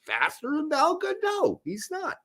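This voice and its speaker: Hoarse, animated man talking soccer. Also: extremely high pitch, normal speed. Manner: emphatic, swears when fired up. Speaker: podcast host. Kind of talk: casual live soccer talk.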